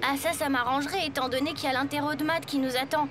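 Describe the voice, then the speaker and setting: A woman voicing a good-natured, level-headed schoolboy hero in a French animated series, with a conversational, boyish delivery. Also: high voice, fast talker, close to an everyday voice.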